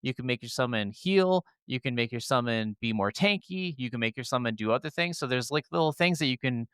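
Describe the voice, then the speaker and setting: A man with a smooth, clear, mid-pitched voice talks casually as a gaming podcast co-host. He is enthusiastic and rambling, with loose swearing, and the sound is very dry. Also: lively intonation, a little fast, a bit bright, no echo. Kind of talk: casual, opinionated gaming chat.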